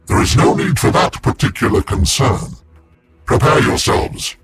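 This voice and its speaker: An actor playing an ancient warrior-psyker sealed in a war machine, speaking formally and solemnly as the game's narrator. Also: male voice, unhurried, lightly acted. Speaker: librarian, narrator. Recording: with loud game music behind.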